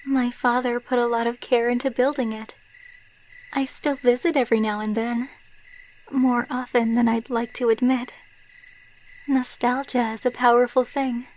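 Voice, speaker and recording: A woman playing a gentle, wistful vampire girlfriend in an ASMR roleplay, speaking softly and tenderly. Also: fairly high pitch, level tone, slight background noise, natural voice. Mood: wistful, nostalgic. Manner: soft, slow, tender, wistful delivery.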